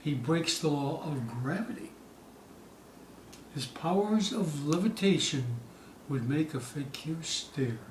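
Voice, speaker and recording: A man giving a theatrical poetry recital, dramatic and suspenseful, with drawn-out phrases moving between hushed dips and loud peaks. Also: on the high side, lively intonation. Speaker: poetry reader. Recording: distant, echoey room with faint hiss.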